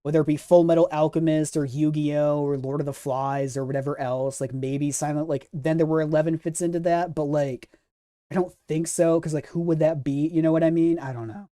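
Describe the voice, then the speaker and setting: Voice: mid-range voice, little pitch movement, fairly quick, mellow, no room sound, clean studio sound. A host talking casually through theories on a discussion stream, hesitantly repeating words while thinking aloud. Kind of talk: casual theory chat, responding to live comments.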